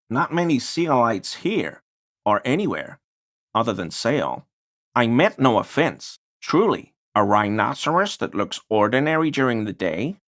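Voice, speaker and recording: An actor playing an obsequious, conspiracy-minded amateur researcher in a video game, deferential and wheedling while sounding pseudo-scholarly. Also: male voice, medium pitch, fairly bright tone, heavily acted. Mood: evasive, apologetic, then earnest lecturing. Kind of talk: video game character dialogue.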